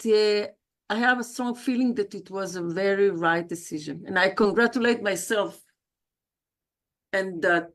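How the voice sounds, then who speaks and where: A woman's voice: medium pitch, a bit bright. A woman, introducing herself on a video call in a chatty, personal way, with frequent hesitations and self-corrections. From South America. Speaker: guest speaker, leadership coach. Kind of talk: livestreamed self-introduction talk.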